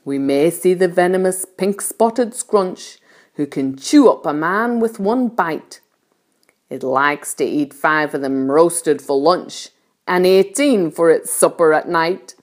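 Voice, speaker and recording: A woman's voice: fairly low pitch, lively intonation, slow. A woman voicing a boisterous, gleeful male centipede in a storybook, exuberantly declaiming in rhyming verse. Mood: gleeful, theatrical.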